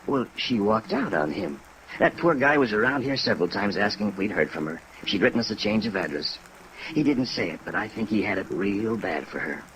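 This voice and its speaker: Deep-voiced gossipy American building manager. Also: male voice, animated, a little fast, fairly bright tone, low-quality recording. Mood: gossipy, offhand. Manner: chatty, slangy, offhand. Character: gossipy, folksy apartment house manager, middle-class American. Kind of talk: 1950s radio drama acting. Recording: old radio recording with rain noise.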